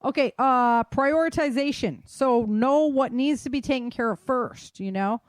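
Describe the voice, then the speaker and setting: A woman's voice: high-pitched, measured pace, no room sound. A woman hosting a casual talk podcast, explaining advice in an emphatic, colloquial way and often checking in with "right?". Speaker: podcast host.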